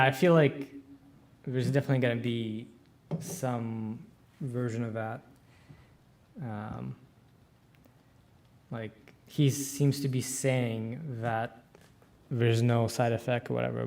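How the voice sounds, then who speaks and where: A man giving casual live commentary as he plays a game, talking in a halting, unhurried way. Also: medium pitch, flat delivery, vocal fry, a little mellow. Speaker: streamer co-hosting a game playthrough.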